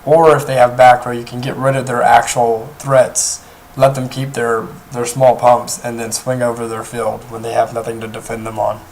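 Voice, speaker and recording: A young man with a hoarse voice, talking casually through a card game deck, rambling with many pauses and restarts. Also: mid-range voice, flat delivery, a little mellow, slight background noise, poor audio. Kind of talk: casual deck profile talk. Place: indoors at a table, off camera.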